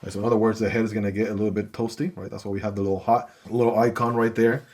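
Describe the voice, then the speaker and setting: A man's voice: lowish voice, little pitch movement, very fast, darkish tone. A man casually talking through an unboxing and hands-on review of a gadget, easygoing and chatty with frequent fillers. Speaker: gear reviewer.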